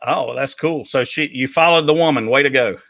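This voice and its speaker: An upbeat man hosts a live talk show, chatting casually with guests and viewers. His voice is bright and forward, recorded dry and close with no room sound around it. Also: mid-range voice, a little fast, gravelly, dry recording. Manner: upbeat, joking, with many fillers. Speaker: host.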